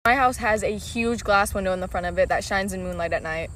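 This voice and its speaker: A woman's voice: medium pitch, quick pace, a bit bright, a little hiss or hum. A young adult woman casually telling a personal scary story. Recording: voice distant from the mic.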